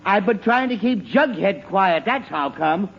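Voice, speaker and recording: A man's voice: high-pitched, expressive, a little slow, gravelly, exaggerated voice. A man playing a middle-aged, exasperated suburban father in an old-time radio sitcom, sputtering and long-suffering. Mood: weary, flustered. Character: exasperated, flustered suburban father trying to hear a ballgame. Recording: muffled, noisy old radio recording.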